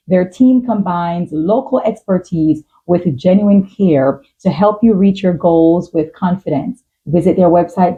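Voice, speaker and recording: A woman's voice: lowish voice, phone-quality sound. A woman hosting a podcast in a conversational, supportive way. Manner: affirming, supportive. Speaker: talk show host.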